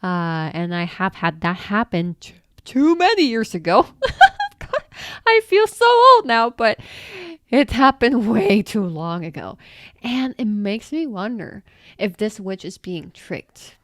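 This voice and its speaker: A woman's voice: mid-range voice, sing-song, slow, a bit bright, dry recording, studio quality. A woman hosting her own channel, reading viewer comments aloud and reacting with laughs, exclamations and mild swearing. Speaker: channel host answering viewer questions.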